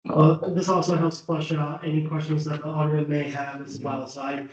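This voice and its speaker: A fairly high-voiced man speaks in a flat, even line, heard from far back in a very echoey meeting chamber. The sound is muffled and dull. He explains the amendments in a measured way, with plenty of "uh" and "um". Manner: measured, with frequent "uh" and "um". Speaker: planning board member presenting text amendments. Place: city meeting room.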